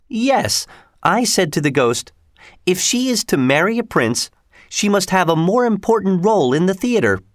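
A proud older theater lady, played by a male narrator, speaks high and swooping. She is emphatic and easily flustered, like a character from a storybook reading, in a dry close recording. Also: sing-song, moderate pace, dry recording. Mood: polite, earnest. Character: indignant older French woman, proud theater attendant.

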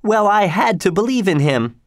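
A man's voice: high voice, sing-song, moderate pace, no room sound, clean studio sound, voice pushed up. A man voicing a proud, easily flustered older woman in an audiobook story, with emphatic protests. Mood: polite, earnest. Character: indignant older French woman, proud theater attendant.

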